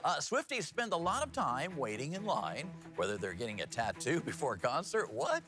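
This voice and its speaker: A man's voice: a little high, huge pitch swings, normal speed, over music. A man anchoring and narrating upbeat local TV news features in a folksy, playful way, fond of puns.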